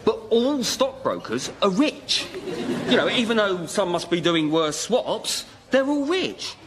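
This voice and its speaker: An actor playing a baffled, nagging ordinary bloke in a radio comedy sketch, matey, halting and repetitive. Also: male voice, high voice, sing-song, a crowd in the background, background noise. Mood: baffled, insistent. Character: baffled, nagging ordinary bloke questioning his rich best mate.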